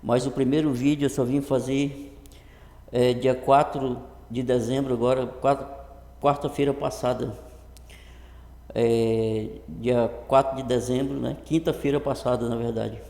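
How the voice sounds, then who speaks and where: A man in his early fifties with a northern Brazilian accent, talking casually and haltingly to camera, often repeating words, in an echoey improvised room. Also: medium pitch, fairly even intonation, creaky, dark tone. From Brazil, Rondônia. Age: early 50s. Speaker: vlogger introducing his channel. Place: improvised untreated home room. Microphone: computer webcam setup.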